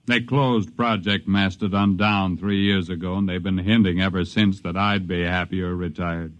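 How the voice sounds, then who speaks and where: A man's voice: sits low, fairly even intonation, normal speed, no room sound, close to an everyday voice. A man playing a stubborn retired older scientist. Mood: weary. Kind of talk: 1950s radio drama acting. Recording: dull lo-fi 1950s radio recording.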